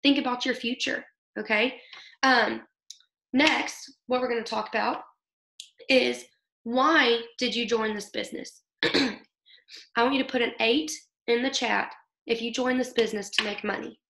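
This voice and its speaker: Hoarse-voiced woman giving a pep talk. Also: fairly high pitch, steady tone, a little slow, fairly bright tone. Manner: upbeat, coaching, keeps asking "okay?". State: losing her voice from illness. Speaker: team leader. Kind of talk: motivational team talk. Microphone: video call. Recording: echoey room.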